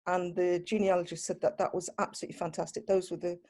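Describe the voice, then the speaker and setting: A woman being interviewed, talking casually and reflectively in an unhurried conversational style. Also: lowish voice, flat delivery, a little mellow. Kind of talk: casual reminiscing.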